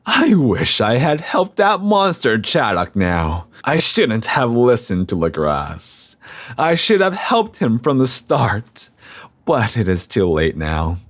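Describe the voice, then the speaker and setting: An actor playing a grave, ominous university scholar in a horror audio drama, speaking formally and deliberately with a theatrical air. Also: male voice, mid-range voice, very animated, no echo. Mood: unhinged regret. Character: grave, ominous male university scholar guarding occult secrets.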